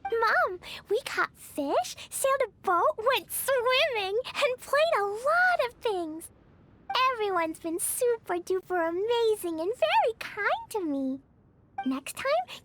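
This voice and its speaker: A woman voicing a sweet, excitable little girl in a video game, bubbly, innocent and childlike. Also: takes it slowly, exaggerated voice. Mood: happy, proud. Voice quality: childlike, squeaky.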